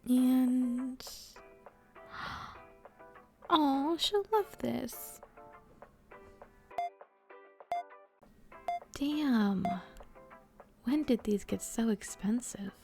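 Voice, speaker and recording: Woman acting a slow, creaky, doting best friend. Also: high voice, lively intonation, soft background music, exaggerated voice. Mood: musing, playful. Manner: affectionate, teasing, rambling, slangy. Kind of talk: intimate audio roleplay, casual one-sided chat.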